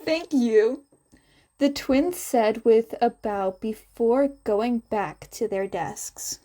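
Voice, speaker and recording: A woman's voice: a little high, slow pace, warm tone. A woman narrating a scripted story as a podfic reader.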